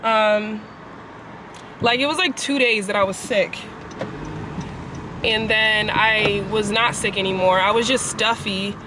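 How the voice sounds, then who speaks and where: A woman's voice: mid-range voice, slow pace, pauses often. Nasal woman in her 20s talking to a dash cam while driving, swears a bit, street noise around. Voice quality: nasal from a cold. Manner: chatty, animated, swears casually. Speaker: vlogger. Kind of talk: casual vlog chat. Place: parked car at a traffic light. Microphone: camera on the dashboard. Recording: car with street noise behind.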